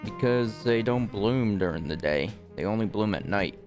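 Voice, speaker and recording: A man's voice: fairly low pitch, expressive, moderate pace, darkish tone, soft background music. A man streaming a video game, talking casually and reading along as he plays. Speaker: streamer playing and commenting on a game. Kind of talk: casual game chat and read-aloud.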